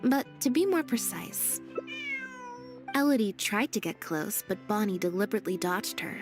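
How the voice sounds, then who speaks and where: A perceptive young woman from a video game, acted by a woman. She speaks in a composed, thoughtful way at a medium pitch. Soft music sits faintly behind her. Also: lively intonation, normal speed, close to an everyday voice.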